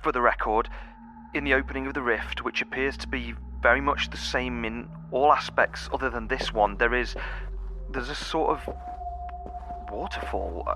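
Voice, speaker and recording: A man's voice: mid-range voice, normal speed, sharp tone, faint music behind. A man playing a terse expedition leader in an audio drama, using clipped, military-style phrasing. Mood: stunned. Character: terse male expedition leader exploring an anomaly. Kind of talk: naturalistic audio drama acting.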